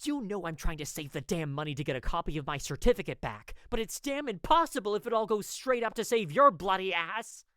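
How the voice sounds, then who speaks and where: A man plays a hot-tempered younger man of the Old West in an audio story. He scolds and snaps with emphatic, wide-swinging pitch that climbs well up, recorded dry. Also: expressive, fairly quick, few pauses, bright, forceful tone, no room sound. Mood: exasperated, scolding. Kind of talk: audio story character acting.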